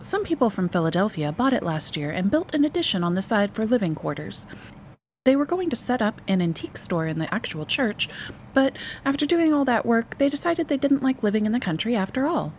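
A woman's voice: on the high side, big pitch swings, fairly quick, slight background noise, pitched above the performer's own voice. A woman voicing an enthusiastic, coaxing mother in a storybook, gushing and persuasive. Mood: eager. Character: enthusiastic mother, brightly persuasive, dreamy, easily exasperated. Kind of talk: storybook character voice.

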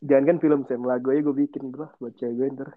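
A man's voice: medium pitch, little pitch movement, quick pace, dry recording, narrow, tinny audio. A young man with a Jakarta Indonesian accent, a podcast co-host chatting casually in teasing, slangy talk. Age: young adult. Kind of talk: casual bantering chat.

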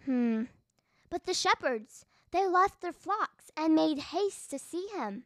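A woman's voice: high voice, lively intonation, takes it slowly, dry recording, clean studio sound. A female podcast host narrating and discussing a Bible story for children.

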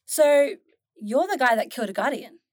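A blunt, sarcastic young girl in a fantasy audio drama , acted by a woman. The voice is high and swings widely in pitch. The delivery is jittery and informal, with things blurted out. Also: lively intonation, normal speed, darkish tone, no echo, clean studio sound. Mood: challenging.

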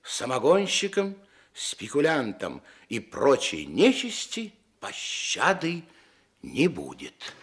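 An actor playing a storyteller in a Russian radio play, narrating with measured, gentle irony. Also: male voice, medium pitch, wildly swooping pitch, breathy edge, heavily acted. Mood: wry, ironic. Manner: measured, ironic.

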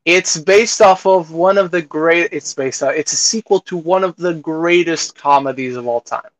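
Casual male podcaster with a higher-pitched voice. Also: moderate pace, no echo, poor audio. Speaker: podcast co-host. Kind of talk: casual movie chat.

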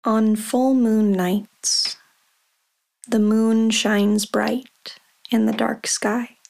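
A woman guiding a meditation in a slow, unhurried voice with a gravelly, fry-tinged texture. Her pitch stays level and even, with little rise or fall. She speaks softly and calmly, leaving long pauses between phrases. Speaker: meditation guide. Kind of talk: guided meditation.

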